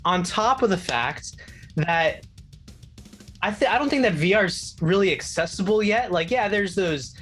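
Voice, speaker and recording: A man's voice: high voice, animated, normal speed, a bit bright, faint music behind. A man speaking as a show guest, explaining things casually and thinking aloud. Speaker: video game contributor, show guest.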